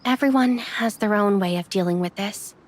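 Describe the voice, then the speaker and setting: A woman playing a caring but reserved young woman in an anime game, speaking gently and reassuringly. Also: mid-range voice, normal speed, natural voice. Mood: gentle, reflective.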